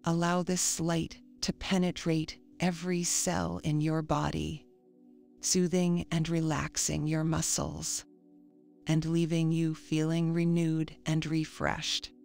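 A woman reading a scripted guided meditation in a calm, soothing, measured manner. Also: sits low, gravelly. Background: faint music.

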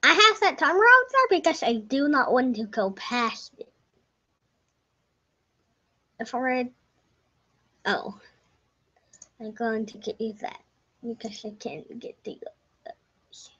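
A woman's voice: a little high, animated, measured pace, long pauses, pushed and bright. A young woman giving casual, rambling commentary over a computer game, correcting herself as she goes and sometimes dropping to a whisper. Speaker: gameplay narrator. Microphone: desk microphone. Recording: dull lo-fi home mic.

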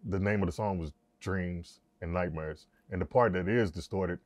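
A man in his forties with a deep voice, a mix engineer casually explaining his work in a studio control room, relaxed and slangy. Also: normal speed, no room sound. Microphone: lapel mic.

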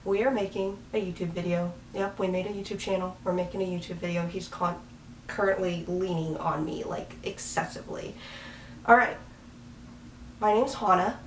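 A woman's voice: lowish voice, steady tone, normal speed, a little hiss or hum. Young woman, casual rambling vlog chat, camera mic a few feet off, echoey small bedroom. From Washington State, US. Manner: chatty, rambling, laughs at herself. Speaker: vlogger. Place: small bedroom, indoors, on a bed with a dog. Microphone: camera mic from a few feet away. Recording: roomy, slightly distant camera audio.

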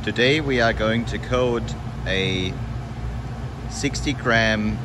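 Slow-speaking man over loud machine noise. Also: lowish voice. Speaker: technical presenter. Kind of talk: product demonstration narration. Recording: loud machinery and air-handling hum.

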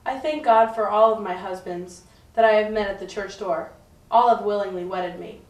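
A woman's voice: mid-range voice, normal speed, natural voice. A woman playing a boastful, unashamed, much-married medieval wife in a scripted puppet show monologue. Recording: echoey, distant mic, faint music.

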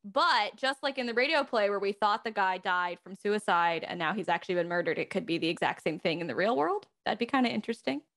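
Young woman with very animated intonation. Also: medium pitch, fast talker, hardly any pauses. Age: young adult. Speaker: voice actor, panel guest. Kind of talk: casual panel chat. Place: room at home, video call.

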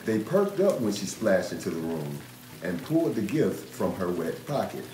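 A man in his thirties reading a children's picture book aloud in an expressive, measured storytelling manner. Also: sits low, noisy background. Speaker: storytime reader, host. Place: indoor room. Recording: roomy echo. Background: music.

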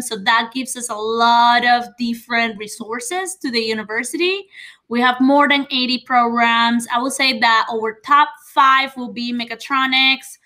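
A woman's voice: fairly high pitch, bright, forceful tone. A young woman from Venezuela, speaking as a university representative on a video call from her living room, explaining things to viewers in an upbeat, informative way. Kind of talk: friendly webinar presentation.

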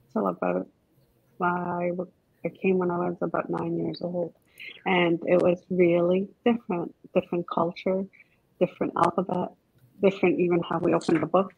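A middle-aged woman from Egypt with a light Egyptian Arabic accent speaks in a low, husky, gravelly voice. She talks haltingly on a video call, and the sound is dry and dull. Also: little pitch movement, dry recording. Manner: hesitant, restarts phrases. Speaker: guest. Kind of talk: casual video-call interview. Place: home office. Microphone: webcam on a video call.

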